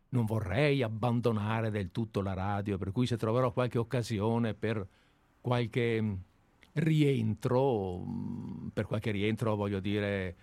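A weary male radio host who talks in a halting way, full of restarts and pauses, as he takes leave of the show. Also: on the low side, vocal fry, darkish tone, no room sound. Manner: hesitant, halting, many restarts. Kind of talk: casual on-air chat.

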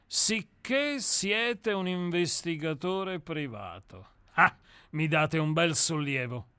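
A man acts out a haughty famous author in a radio drama. The voice is bright and forceful, a little high for a man, in a dry studio sound. He is curt, worldly and used to giving orders. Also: expressive, slow pace, clean tone, no echo, heavily acted. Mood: amused, condescending. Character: imperious, irritable celebrated writer, haughty and worldly. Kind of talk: radio play acting.

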